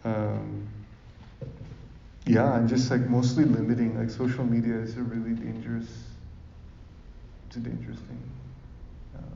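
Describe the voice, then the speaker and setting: A man from Sri Lanka speaks informally to a room, with a mellow, darker voice. He keeps it conversational and often checks that listeners agree. The recording is roomy and a little muffled. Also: mid-range voice, flat delivery, a little slow, creaky, pauses often, a little hiss or hum. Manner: conversational, often seeks agreement with tag questions. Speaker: speaker giving advice to parents at a talk. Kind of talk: informal public talk with audience questions. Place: echoey room in front of an audience. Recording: roomy, dull, distant microphone recording.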